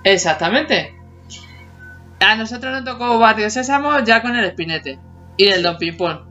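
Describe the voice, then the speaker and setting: A woman's voice: fairly high pitch, lively intonation, normal speed, pushed and bright. A woman in her forties co-hosting a podcast, chatting casually, laughing often and humming bits of old tunes. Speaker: podcast co-host. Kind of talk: casual chat about childhood TV songs. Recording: dull lo-fi sound with faint music behind.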